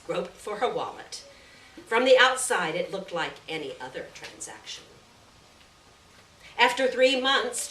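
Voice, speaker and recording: Woman, lively rise and fall, measured literary story reading, deliberate pauses, roomy distant recording. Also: fairly low pitch. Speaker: reader of literary short fiction. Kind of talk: scripted narration, live short story reading. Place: indoor live reading event. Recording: echoey room, distant mic, faint noise.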